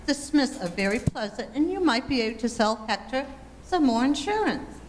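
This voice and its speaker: A woman's voice: on the high side, sing-song, normal speed. A woman playing a patient, politely coaxing housewife and mother in an amateur 1940s-style radio comedy. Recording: reverberant hall, faint background noise.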